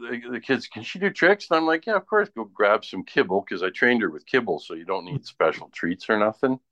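Casual male talker for a chat show: low voice, dry sound, rambling anecdotal delivery. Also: sing-song, fairly quick, pushed and bright, no room sound, dull audio. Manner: rambling, often tags sentences with "right?".

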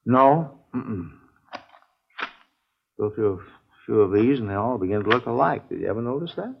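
A folksy, rambling store manager from old radio drama. The voice is creaky and swings widely in pitch, on a muffled, faintly noisy recording. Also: male voice, lively intonation, normal speed. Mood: musing. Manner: rambling, musing, chatty. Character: talkative, folksy male grocery store manager, slow-witted witness. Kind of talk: 1950s radio drama character acting.

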